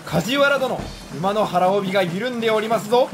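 A man's voice: high-pitched, animated, normal speed, noisy background. A man acting a proud, bold samurai, declaiming in formal old-fashioned speech in a period radio drama. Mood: formal, urgent. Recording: music over the voice.

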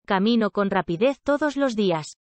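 A woman from Spain reading short practice sentences aloud for a language lesson, clear and measured. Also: medium pitch, lively intonation, a little mellow, no room sound.

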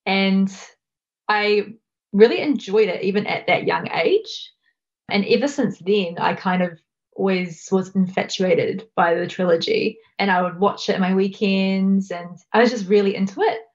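A woman's voice: medium pitch, unhurried, highs cut off. A young woman from New Zealand speaking a little hesitantly in an interview.

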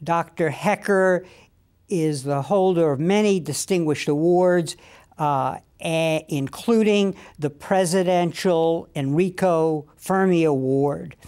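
A man's voice: fairly high pitch, takes it slowly, darkish tone, clear, resonant tone. Older male host asking formal questions. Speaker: interview host. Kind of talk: studio interview. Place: TV studio. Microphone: lapel.

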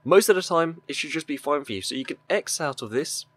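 A young man giving a casual, upbeat YouTuber voice-over for a software tutorial. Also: fairly high pitch, expressive, fairly quick, no echo. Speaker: tutorial video host. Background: background music.

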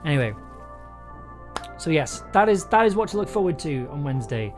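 A man's voice: fairly high pitch, very animated, a little fast. Hesitant young man chatting over music. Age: young adult. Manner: rambling, hesitant, self-interrupting. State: tired. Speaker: livestream host, audio drama maker. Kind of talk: casual livestream chat, thinking aloud. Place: small indoor room at a desk.

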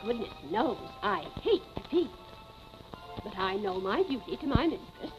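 An actress playing a stern elderly housekeeper in a 1940s film melodrama, righteous, suspicious and emphatically accusing. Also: female voice, fairly high pitch, sing-song, measured pace. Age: older. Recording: muffled 1940s film soundtrack, music behind.

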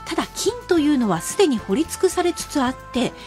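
High, animated woman pitching on radio shopping. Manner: emphatic, slowing to spell out prices and numbers. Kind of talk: live radio sales pitch. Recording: music bed under the voice.

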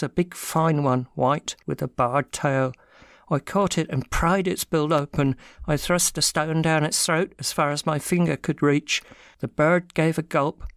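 A man performs a frightened small-time thief in an audiobook drama. The voice is smooth and fairly high for a man, recorded close and dry. He confesses in an anxious, self-pitying way. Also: no echo, lightly acted.

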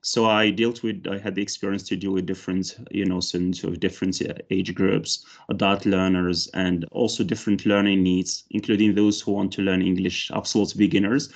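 A man's voice: sits low, level tone, a little fast, few pauses. A man from Morocco with a Moroccan accent, native in Arabic and Berber, an English teacher in a mock interview and demo lesson on a video call, hesitant with frequent fillers and restarts. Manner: hesitant, many fillers and restarts, rises brightly when teaching. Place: indoor room with large windows. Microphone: video call, headset.

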